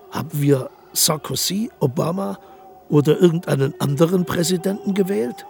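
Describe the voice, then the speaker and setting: An actor playing an aging, proud dictator in a radio drama, reading out a letter in an insistent, declamatory way. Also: male voice, a little high, vocal fry, a little mellow. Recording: faint music behind.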